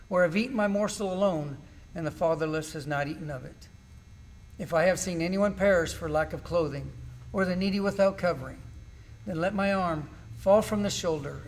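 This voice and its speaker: A man performs a suffering, righteous man from a Bible drama. His voice sits fairly high for a male, and he declaims his grievance and innocence in solemn, measured phrases. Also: lightly acted. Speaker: amateur actor in a church class drama. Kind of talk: dramatized scripture recitation.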